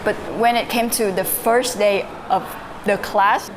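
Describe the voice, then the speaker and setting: A young woman from Vietnam, a native Vietnamese speaker, telling her story as a podcast guest in a relaxed way with fillers and soft laughs. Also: medium pitch, a little slow. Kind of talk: casual interview, recounting her story. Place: live-streamed online call. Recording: audible background noise.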